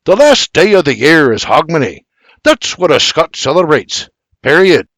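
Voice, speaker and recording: A man's voice: medium pitch, big pitch swings, slow, no echo, heavily acted. A man voicing a stubborn, cheerful old Scottish man in a Scottish accent who lectures with plenty of Scots dialect. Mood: insistent. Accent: Scottish, as played.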